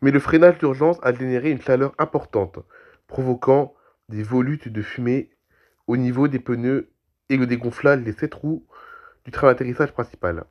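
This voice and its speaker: A man with a cold reads aviation news aloud, clearing his throat and correcting himself as he goes. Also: medium pitch, moderate pace, muffled recording. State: has a cold, coughing. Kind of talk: reading aviation news with commentary.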